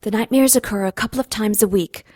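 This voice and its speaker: A woman plays a troubled single mother in an audio drama. She is polite and earnest, fiercely protective, with a medium-pitched voice. The sound is dry, with hardly any room. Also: quick pace, warm tone, no echo, lightly acted. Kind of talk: radio drama dialogue.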